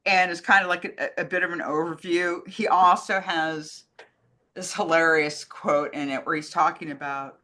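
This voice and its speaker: Woman, very bright voice, low-key co-host chat, enthusiastic, rambling. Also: fairly even intonation, normal speed. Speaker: co-host answering fan questions. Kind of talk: casual chat.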